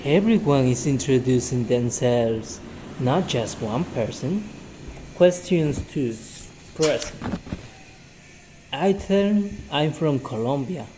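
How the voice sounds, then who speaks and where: A man's voice: medium pitch, expressive, slow pace, background noise. A man teaching English by reading a short story and drilling it with questions he asks and answers himself, repeating each sentence.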